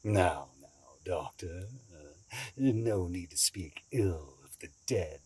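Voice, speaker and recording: An actor playing a cunning, calmly menacing escaped asylum inmate in an audio drama, deliberate, theatrical and condescending, with a husky voice. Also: male voice, sits low, no echo, exaggerated voice. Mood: coy, taunting.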